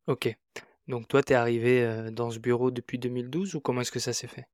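A man's voice: mid-range voice, little pitch movement, fairly quick, darkish tone, dry recording. Casual podcast host man, dry sound. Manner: informal, hesitant questions. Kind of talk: podcast interview.

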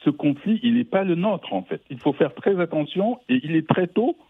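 A male caller speaks over a tinny phone line, dry and a little husky. His pitch jumps around a lot as he argues his point. He often stops and restarts his sentences. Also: no echo. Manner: animated, halting, many restarts. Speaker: radio caller. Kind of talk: phone-in opinion.

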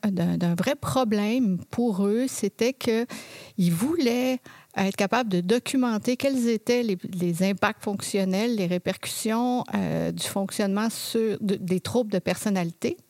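A woman's voice: mid-range voice, darkish tone, dry recording. Québécoise professor telling her story. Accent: Québécois French. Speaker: occupational therapist and professor. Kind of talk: interview storytelling.

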